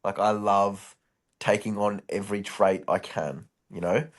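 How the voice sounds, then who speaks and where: A man's voice: fairly low pitch, steady tone, a little slow. A man co-hosting a podcast in a laid-back casual chat, teasing and bantering with his co-hosts. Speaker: podcast co-host.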